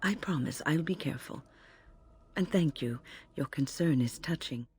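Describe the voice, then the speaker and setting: An actress playing a weary, gentle older spirit healer in a video game, measured and dryly wry, sounding drained. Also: female voice, deep voice, husky.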